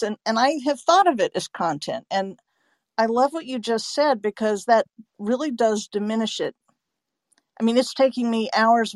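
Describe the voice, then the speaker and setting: A woman's voice: medium pitch, no room sound. A woman speaking conversationally as an interviewer, earnest and agreeable in manner. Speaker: interviewer, narrator and website owner.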